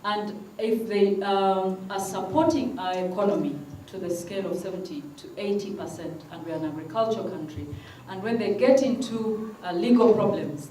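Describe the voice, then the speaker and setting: A woman's voice: mid-range voice, normal speed, warm tone. A woman from Uganda, making earnest, insistent remarks from the floor at a conference on legal aid. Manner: earnest, insistent, repeats "I want us to think". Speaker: legal aid program worker, panel participant. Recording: echoey conference hall, mic at a distance, faint noise.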